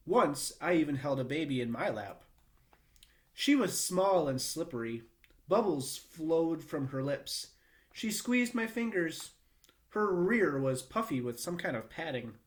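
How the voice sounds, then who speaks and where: A man reading a children's book aloud in a measured, expressive storytelling manner with short pauses. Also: on the high side. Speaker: read-aloud reader.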